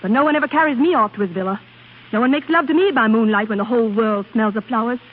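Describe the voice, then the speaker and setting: A woman's voice: on the high side, fast talker, breathy edge. A woman playing a temperamental, bored opera singer who longs for romance, in theatrical 1930s radio style. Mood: yearning, dramatic. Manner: theatrical, petulant, impulsive. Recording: scratchy, narrow-band 1930s radio recording.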